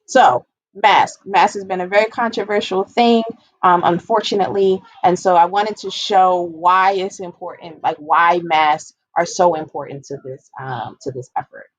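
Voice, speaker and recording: A woman's voice: lowish voice, fairly even intonation, normal speed, dry recording, muffled recording. Woman explaining in a dry close recording. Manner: explanatory, emphasizes and repeats key points. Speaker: public health educator giving a talk. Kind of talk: informal slide presentation, explanatory public health talk.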